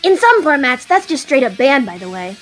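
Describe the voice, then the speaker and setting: A woman voicing a smug, playful female dragon who quips deadpan in an audio drama. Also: high-pitched, a little fast. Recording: faint music behind.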